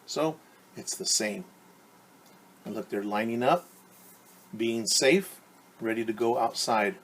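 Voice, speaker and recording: A man's voice: mid-range voice, slow, fairly bright tone, slight background noise. A male preschool teacher reading a picture book aloud and explaining it in simple, instructive words for young children. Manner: simple, instructive phrasing addressed to small children.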